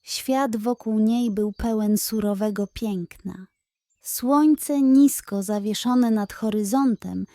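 A woman storyteller narrating a scripted children's bedtime tale in an expressive, measured way. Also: fairly high pitch, no echo.

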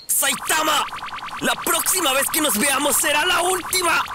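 A man's voice: very high-pitched, unhurried, loud music behind the voice. A man with a Latin American Spanish accent voicing a proud, vengeful young ninja assassin in an anime fan dub, theatrical, menacing and boastful. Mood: vengeful shouting.

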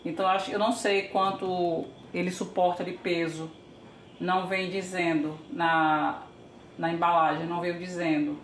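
A woman's voice: on the low side, flat delivery, moderate pace. An older woman from Brazil casually narrating a home how-to, chatty and thinking aloud while she works in her kitchen. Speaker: home vlogger. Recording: echoey kitchen, faint background hiss.

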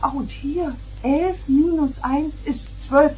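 An actress playing a brisk, chiding mother in a 1970s German children's radio play. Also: sits high, dark tone. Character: brisk, fussing mother of young daughters. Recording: faint hiss on old record.

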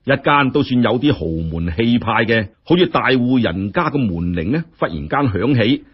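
A man telling a story in colloquial, expressive narration with wry asides. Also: mid-range voice, moderate pace, husky. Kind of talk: dramatic audiobook-style narration. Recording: dull, muffled lo-fi audio.

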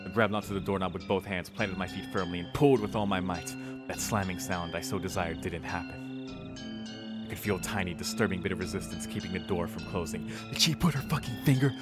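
A man's voice: low voice, brisk, over loud music. A man streaming a visual novel, reading the narration dramatically and breaking into casual, sweary reactions.

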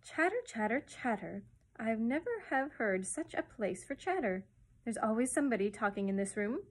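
Storybook character: cantankerous old male starling, performed by a woman, shrill, high, self-important and greedy. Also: lively intonation, normal speed, husky. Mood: scolding. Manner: pompous, wheedling, brusque.

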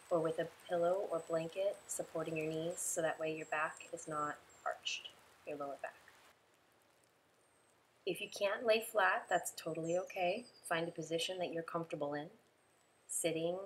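A woman leading a guided relaxation meditation in a soothing, unhurried way, giving instructions in short, spaced phrases. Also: on the low side, slight background noise, rough recording.

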